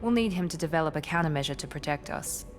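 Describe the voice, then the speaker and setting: A woman's voice: low voice, lively intonation, fast, long reverb tail, soft background music. A woman playing a poised, businesslike officer in a video game, briefing in a formal, confident manner. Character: poised, businesslike female officer advising her commander. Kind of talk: scripted video game dialogue.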